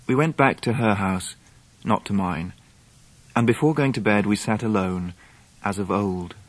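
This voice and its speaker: A grown English gentleman looking back on his life in a radio play. His narration is reflective and fairly low, recorded close and dry. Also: measured pace, no echo. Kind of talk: scripted radio drama narration.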